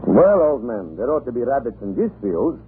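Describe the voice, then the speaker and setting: An actor playing a talkative, irreverent Russian government official in a radio drama, chatty, excitable and theatrical. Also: male voice, mid-range voice, normal speed, close to an everyday voice. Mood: jovial, chatty. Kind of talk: 1940s radio drama acting. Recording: muffled, noisy old radio recording.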